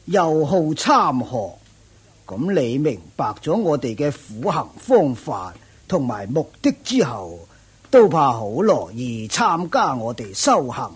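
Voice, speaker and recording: An actor playing a proud older ascetic master in a radio drama, with a husky, raspy voice, a drawling self-satisfied manner. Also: male voice, mid-range voice, sing-song, slow, full character voice. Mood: proud, unhurried. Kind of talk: Cantonese radio drama acting.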